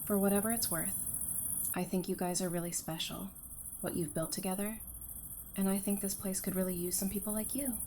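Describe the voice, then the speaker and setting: A woman's voice: medium pitch, fairly even intonation, a little fast, warm tone, a little hiss or hum, natural voice. A woman playing a composed, candid psychiatrist in an audio drama, speaking thoughtfully. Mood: friendly, sincere.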